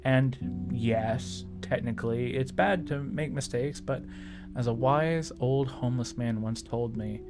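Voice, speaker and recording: A man's voice: mid-range voice, measured pace, over music. A man hosting a video, narrating scripted tips in a tutorial style. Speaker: video host giving tips.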